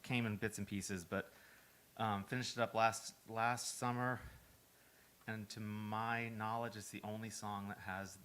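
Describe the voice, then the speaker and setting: A Finnish-born man chatting hesitantly into a stage microphone between songs at an open mic, with lots of pauses. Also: fairly low pitch, flat delivery, a little hiss or hum. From Finland. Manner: hesitant, soft-spoken, full of pauses. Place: small music club stage. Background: guitar playing.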